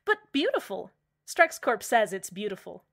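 A woman's voice: mid-range voice, very animated, moderate pace, vocal fry. A woman playing a smiling, menacingly cheerful male radio host in a radio drama, sweetly condescending. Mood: cheerful, sly.

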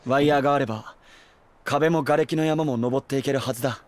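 A man's voice: mid-range voice, fairly quick, lightly acted. An actor playing a cool, guarded young man in a Japanese video game cutscene, terse and matter-of-fact. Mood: cool, instructive. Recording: music behind the dialogue.

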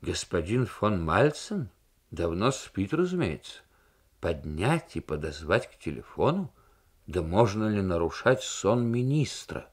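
A man's voice: low-pitched, sing-song, measured pace, exaggerated voice. Man reading as stiff, officious telephone attendant. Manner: pedantic, formal, haughty. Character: stiff, officious male German telephone attendant guarding minister.

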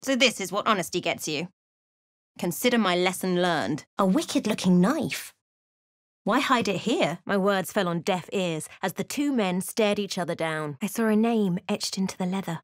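Woman voicing fantasy game heroine, wry, watchful, measured dramatic storytelling, medium pitch, dry close sound. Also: lively intonation, no room sound, natural voice. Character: wry, watchful female fantasy adventurer recounting her journey. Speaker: video game voice actor. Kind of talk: scripted fantasy game narration and dialogue.

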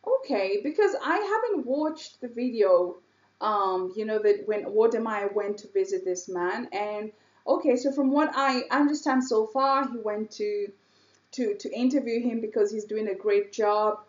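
A woman's voice: fairly high pitch, unhurried, echoey room, lo-fi sound. A woman hosting a reaction video, commenting curiously and asking viewers to answer in the comments. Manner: curious, asks viewers questions.

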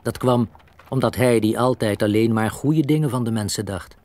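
A man's voice: mid-range voice, normal speed, darkish tone, no echo. A man narrating a scripted children's story as a storyteller.

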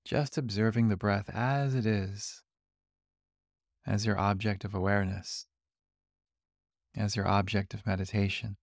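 Male meditation guide: lowish, creaky voice, calm and gentle delivery, leaving long pauses between instructions. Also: dry recording, studio quality. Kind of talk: guided body scan meditation.

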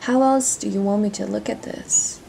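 A woman's voice: mid-range voice, fairly even intonation, normal speed, vocal fry, mellow, a little hiss or hum, rough recording. A woman playing a proud, hurt female pirate captain in a dramatic audio roleplay, guarded and intense. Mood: angry, hurt.

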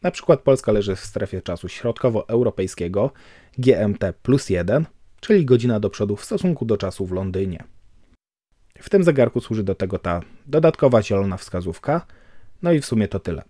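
A man giving a calm, measured voice-over for a watch review, explaining technical details. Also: on the low side, no echo, studio quality.

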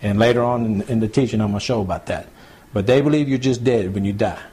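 A man, a pastor teaching scripture to a small home church group in a relaxed, repetitive, conversational way. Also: on the low side, fast, breathy edge. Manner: conversational, repeats points, addresses group as y'all. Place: small home gathering, recorded to CD.

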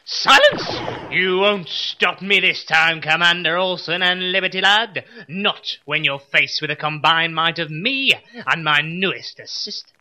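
An actor playing a pompous mad-scientist supervillain in a comedy audio drama, with grandiose theatrical delivery. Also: male voice, fairly high pitch, animated, unhurried, hardly any pauses, bright, forceful tone, slight background noise, exaggerated voice, poor audio.

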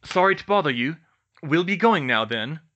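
Young male prankster read by a male narrator: bright, cheeky, confident, casual storybook character. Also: on the high side, expressive, normal speed, lo-fi sound. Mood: placating. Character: jaunty, confident young prankster twin brothers. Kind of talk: audiobook character voice.

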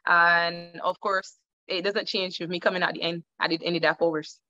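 A woman's voice: lowish voice, little pitch movement, moderate pace, bright, forceful tone. A woman cricketer from Barbados in her thirties, answering interview questions over a video call, thoughtful and repeating words as she searches. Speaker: cricketer guest. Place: indoor room at home. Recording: dull lo-fi call audio.

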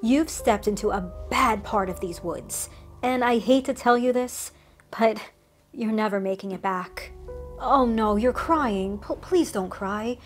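A woman's voice: fairly high pitch, measured pace, soft background music, lightly acted. A woman voicing a friendly male woodland cryptid, an ancient creature with rotting flesh who chats and reassures with wry asides. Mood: chatty. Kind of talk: scripted storytelling monologue.

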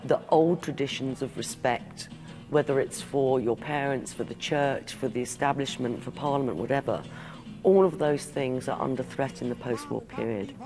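Gravelly-voiced man explaining history. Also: mid-range voice, moderate pace, over music. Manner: explanatory, lecturing. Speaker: historian interviewee.

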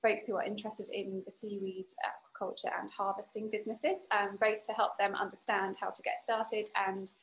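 A woman in her thirties with a mid-pitched voice hosts a casual podcast interview from home. She speaks hesitantly, breaking her sentences with pauses, on a video call. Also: flat delivery, slight background noise, poor audio. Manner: hesitant, pausing between phrases. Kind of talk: informal interview hosting.

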